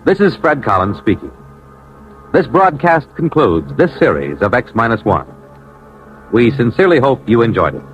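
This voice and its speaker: A man's voice: a little high, fairly bright tone, poor audio. An American man working as a network radio announcer, reading scripted copy in an emphatic, formal 1950s style. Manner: emphatic, formal, polished delivery. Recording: vintage radio broadcast.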